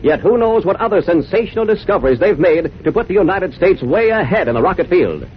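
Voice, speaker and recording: Animated high-voiced vintage radio pitchman. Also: fairly quick, a little hiss or hum. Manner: emphatic salesmanship. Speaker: commercial announcer. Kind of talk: 1940s radio sponsor pitch. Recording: dull vintage radio recording.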